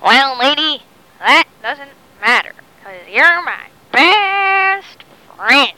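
A woman's voice: high-pitched, animated, extremely slow, bright, forceful tone, exaggerated voice, low-quality recording. A woman voicing a shifty, bumbling pirate captain in a made-up story, blustering and stammering. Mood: chummy.

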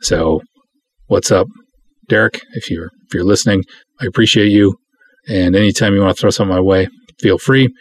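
A man with a husky, somewhat low voice hosts a podcast on his own. He chats casually and rambles a little, self-deprecating, slipping between reading aloud and offhand commentary. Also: moderate pace, pauses often. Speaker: podcast host.

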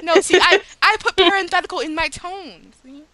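A woman's voice: extremely high pitch, steady tone, moderate pace, pushed and bright. A woman co-hosting a podcast in casual, chatty, informal talk peppered with "like". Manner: chatty, informal, says "like" a lot. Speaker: podcast co-host. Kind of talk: casual chat.

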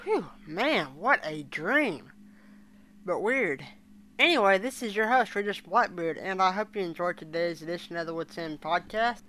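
A woman hosting a fan podcast, giving a news-style report with a somewhat halting delivery. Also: fairly low pitch, animated, a bit bright, slight background noise. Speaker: podcast host.

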